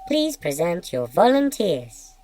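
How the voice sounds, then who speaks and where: A woman's voice: lowish voice, sing-song, slow pace, warm tone. A woman playing a translator voice box that intones formal official announcements in an audio drama. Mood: coolly official. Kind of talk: scripted audio fiction acting.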